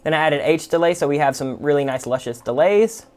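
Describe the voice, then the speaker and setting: A man hosting a music production tutorial, explaining software casually and informally without a script. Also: a little high, a little fast. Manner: informal, explanatory, full of "like" and "kind of".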